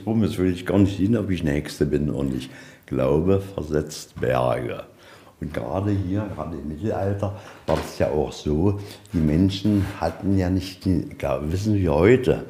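Deep-voiced man giving a guided tour. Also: dark tone, slight background noise. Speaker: museum guide. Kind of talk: informal guided tour storytelling. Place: inside a museum.

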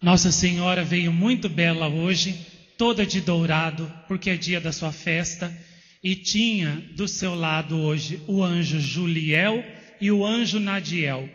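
A Brazilian man with a high voice addresses pilgrims into a handheld mic in a shrine chapel, speaking slowly and earnestly. His words hang in a long reverb, and the old video sound is dull and lo-fi. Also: fairly bright tone, faint music behind. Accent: Brazilian Portuguese. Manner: slow, earnest, fills pauses with "eh" and "né". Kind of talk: devotional talk to pilgrims. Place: indoor shrine chapel with statues. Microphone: handheld microphone.

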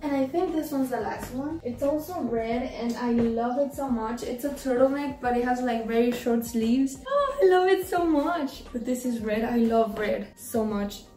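Teenage girl, slight Mexican accent, relaxed rambling chat, higher voice, roomy sound off the mic. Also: moderate pace, hardly any pauses, far from the mic. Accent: Mexican-tinged English. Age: teens. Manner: chatty, rambling, tired and easygoing. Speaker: vlogger. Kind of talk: casual try-on vlog chat. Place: small bedroom. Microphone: camera across the room. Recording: roomy, from off the mic, soft music behind.

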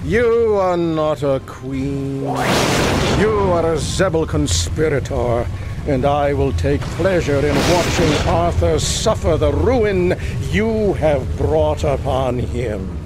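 A man's voice: on the high side, expressive, takes it slowly, loud music behind the voice, poor audio. A man playing a haughty, bitter sea prince villain in a video game, speaking formally with theatrical disdain. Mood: contemptuous.

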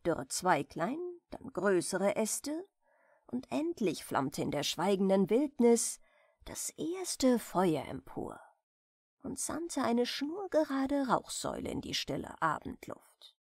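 A woman reading a bedtime story aloud in a soft, gentle, measured storytelling manner. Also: medium pitch, sing-song, slightly rough, dry recording, studio quality. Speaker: audiobook reader of bedtime stories.

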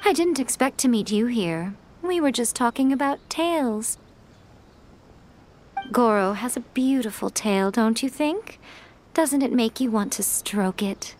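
An actress playing a sly, teasing, elegant fox shrine maiden in a video game, coy and poised. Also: female voice, a little high, lively intonation, unhurried, darkish tone, slight background noise. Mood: coy, playful. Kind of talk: acted video game dialogue. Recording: music behind the voice.

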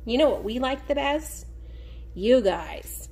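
Woman reading slowly to children, high lively pitch. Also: creaky, slightly rough. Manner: expressive, sing-song, drawn-out key words; encouraging, addresses children directly. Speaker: teacher reading a picture book aloud to young children. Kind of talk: children's read-aloud with questions to the listeners.

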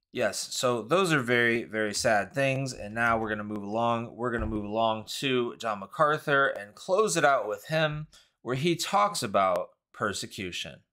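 Earnest man with a bright voice. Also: medium pitch. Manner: earnest, with frequent fillers and quick asides. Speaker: podcast host. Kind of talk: earnest commentary. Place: home study with bookshelves. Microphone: studio mic on boom arm.

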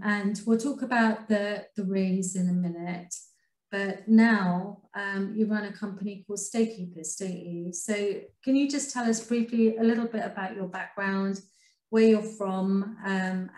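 A woman in her thirties hosts an interview over a video call, her voice mid-pitched. She talks in a friendly, chatty way, with frequent ums. The sound carries the echo of an indoor room. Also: fairly even intonation, a little slow, a little mellow. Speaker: interviewer host.

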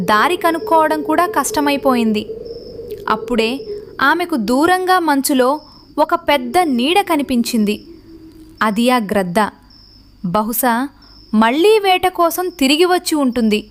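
A woman's voice: high-pitched, animated, moderate pace, long pauses, slight background noise. A woman narrator reading a scripted story for children.

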